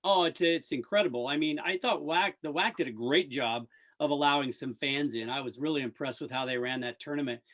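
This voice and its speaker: A man's voice: fairly high pitch, brisk, a bit bright. A man speaking as a radio play-by-play announcer in a casual, enthusiastic sports interview.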